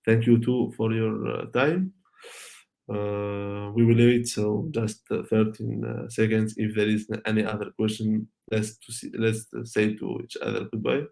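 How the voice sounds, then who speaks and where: A man whose native language is Arabic, presenting an online tech talk and taking questions, speaking haltingly with many fillers and restarts. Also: fairly low pitch, flat delivery, gravelly, a little mellow. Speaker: conference speaker.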